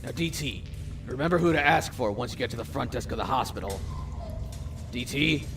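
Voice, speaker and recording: Man acting a brash, foul-mouthed gang boss vampire, animated. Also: mid-range voice, a little fast, creaky, far from the mic, soft background music. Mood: exasperated. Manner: profane, sarcastic, bossy. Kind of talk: amateur audio drama acting.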